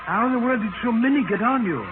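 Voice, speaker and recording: An actor playing an excitable, obsessive professor at war with insects, fervent and fussy, in 1940s radio drama style. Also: male voice, very high voice, quick pace. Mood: alarmed, agitated. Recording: noisy, narrow-band vintage radio recording.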